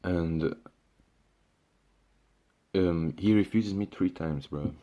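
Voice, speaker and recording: A man's voice: low voice, very slow, dark tone. A young man from Syria speaking in a casual ranting monologue, restarting often, swearing and calling the listener "bro". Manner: frequent restarts and fillers, swears, says "bro". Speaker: vlogger.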